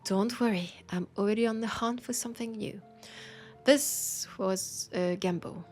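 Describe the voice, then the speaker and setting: Slow, whispery Frenchwoman acted as tender bookseller. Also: mid-range voice, darkish tone, close to an everyday voice. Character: romantic, teasing female bookseller fond of a vampire customer. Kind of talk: soft intimate roleplay audio with poetic reading. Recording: music behind the voice. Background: music.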